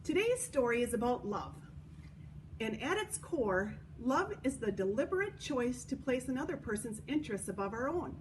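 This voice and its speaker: A woman hosting a children's story time at a church, reading and explaining in a deliberate way for young listeners. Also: fairly high pitch, sing-song. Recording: distant microphone, noticeable background noise.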